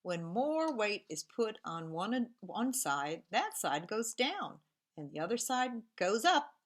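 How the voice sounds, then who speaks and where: A woman's voice: mid-range voice, very animated, takes it slowly. A woman teacher reading a picture book aloud to young children and explaining simple machines in a sing-song storybook manner. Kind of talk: children's read-aloud lesson.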